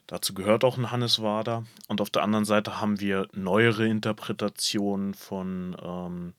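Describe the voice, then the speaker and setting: A man co-hosting a podcast in a relaxed way, adding his own asides. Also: lowish voice, normal speed, dry recording. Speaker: podcast co-host. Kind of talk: casual podcast chat.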